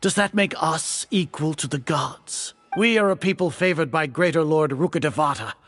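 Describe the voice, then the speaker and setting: An actor playing a haughty, older scholarly leader in a video game, lecturing with grandiose contempt. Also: male voice, fairly high pitch, normal speed, fairly bright tone, faint music behind. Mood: condescending. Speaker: grand sage of an academy.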